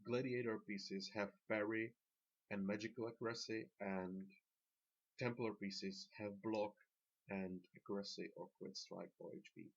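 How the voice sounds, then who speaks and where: A man with a Slovak accent giving halting, plain-spoken, unscripted commentary as he guides viewers through a video game. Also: fairly low pitch, little pitch movement, vocal fry. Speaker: gamer presenting a dungeon tanking guide. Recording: dull lo-fi voice track.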